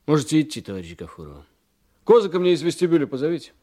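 A man acting in a radio drama as a calm, shrewd police investigator, polite but probing and dryly ironic. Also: fairly high pitch, very fast, a little hiss or hum. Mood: businesslike, polite.

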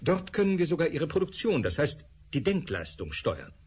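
A man's voice: a little high, huge pitch swings, moderate pace, darkish tone, slightly rough, exaggerated voice. An actor playing a male scientist who lectures with hesitant fillers in a radio drama. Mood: earnest lecturing. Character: male scientist explaining thought particles.

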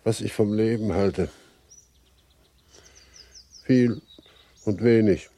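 An actor playing a kindly, thoughtful old village pastor in a literary radio play, speaking in a measured, reflective way. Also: male voice, on the low side, warm tone. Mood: gently musing. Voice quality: husky.

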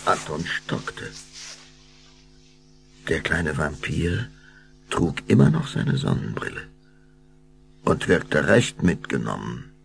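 A male narrator tells a spooky children's story in a deep voice. His intonation swings widely to build suspense, with some gravel in the tone. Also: sing-song, takes it slowly, long pauses, slight background noise, exaggerated voice. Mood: suspenseful.

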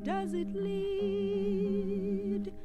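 A woman singing a slow ballad, played from a record. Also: very high-pitched, flat delivery, music in the background.

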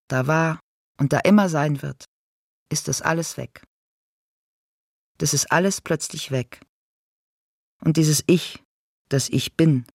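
An actor playing a brooding, fractured figure from Greek tragedy in a radio drama monologue, with halting, repetitive, self-questioning delivery. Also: male voice, a little high, vocal fry, no echo, studio quality. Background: music.

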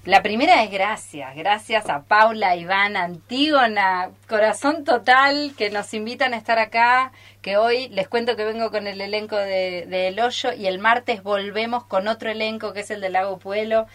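A woman's voice: medium pitch, moderate pace, hardly any pauses, fairly bright tone. A woman with an Argentine accent talks casually and enthusiastically on live radio as a theatre teacher visiting the studio. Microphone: studio microphone.

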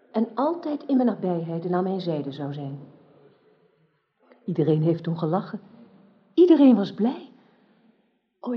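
Expressive, wounded queen. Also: fairly low pitch. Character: young queen, wounded, pleading, possessive in love. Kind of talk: radio drama acting. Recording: dull old radio drama recording.

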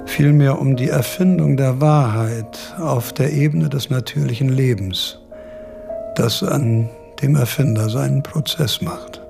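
Male voice for radio drama commentary, creaky mid-range, instrumental music underneath. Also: mid-range voice, a little slow.

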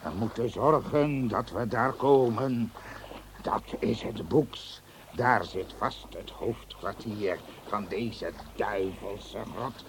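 Dramatic, slow-talking adventurer captain. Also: male voice, fairly low pitch. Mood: tense. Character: adventurous captain with a sword, grave, breathless, bellowing in fights. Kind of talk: Dutch radio play acting, dramatic. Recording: noisy radio play soundscape.

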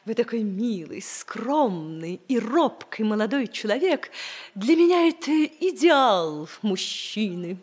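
A woman's voice: a little high, big pitch swings, a little mellow, slightly rough, full character voice. An actress playing a flirtatious, theatrical, much-married middle-aged mother in a 1960s radio comedy, gushing and giggly, drawing out her words. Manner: coquettish, giggly, gushing, drawn-out delivery.

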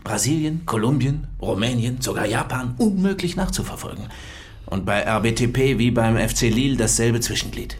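An actor playing a resentful, plain-living police investigator in a German-language radio drama. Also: male voice, mid-range voice, lively intonation, moderate pace, close to an everyday voice. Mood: intent. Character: resentful working-class male police investigator.

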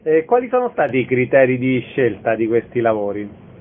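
A man's voice: medium pitch, sing-song, fairly quick, phone-quality sound, slight background noise. A man acting as a radio interviewer, giving introductions and putting questions to a guest. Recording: thin, band-limited radio sound.

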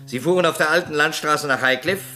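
A man's voice: a little high, brisk, bright, forceful tone, soft background music. A man playing a shrewd, methodical police detective in a German crime radio play, speaking precisely and insistently with an accusatory edge. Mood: accusing, insistent. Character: shrewd, methodical male police detective confronting a murder suspect.

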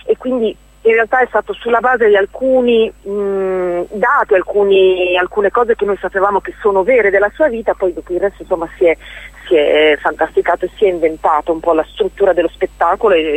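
A woman's voice: mid-range voice, steady tone, fairly quick, dry recording, low-quality recording. A woman answers interview questions conversationally, often hesitating and rephrasing as she explains. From Romagna, Italy. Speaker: stage actress, interview guest.

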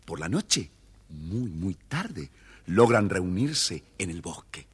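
Male storyteller, fairly low pitch, animated melody, playful children's narration, faint music. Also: slow pace, a little hiss or hum. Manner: playful, addressing young listeners.

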